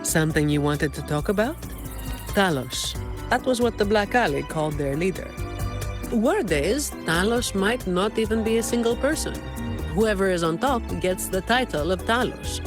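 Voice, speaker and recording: A woman playing a composed, helpful informant in a video game, briefing an ally in a measured, explanatory way. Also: lowish voice. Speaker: game character giving briefing and lore. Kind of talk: scripted video game dialogue. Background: instrumental music.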